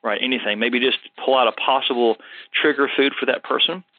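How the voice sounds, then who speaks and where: A man's voice: medium pitch, steady tone, brisk, dry recording. A man hosting a health show, answering a caller in a casual, thoughtful way with many fillers. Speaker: health practitioner and show host. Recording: dry, phone-like narrow sound.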